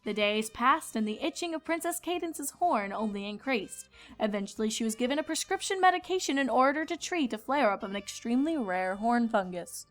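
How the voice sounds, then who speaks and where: A woman's voice: a little high, lively intonation, fairly quick, hardly any pauses, fairly bright tone, soft background music. A woman reading scripted narration as a storyteller.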